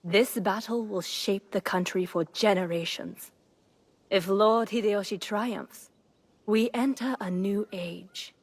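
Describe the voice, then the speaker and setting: An actress playing a shrewd, composed noblewoman in a video game, giving counsel in a measured, formal way. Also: mid-range voice, breathy edge. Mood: composed, urgent. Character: composed, shrewd noblewoman advising and sending agents to war. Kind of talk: video game cinematic acting.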